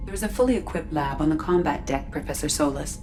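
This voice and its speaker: Casting: actress for courteous synthetic starship intelligence in a game. Low female register, fast, composed, procedural. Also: over music, close to an everyday voice. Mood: polite, composed. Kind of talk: video game voice acting.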